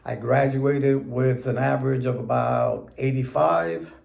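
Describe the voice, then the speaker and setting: A man in his seventies, born in Puerto Rico, recalling his path to the bench in a slow, deliberate, plain-spoken way. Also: medium pitch, gravelly, a little mellow, reverberant. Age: 70s. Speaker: interviewee judge. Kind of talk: measured recollection in an interview.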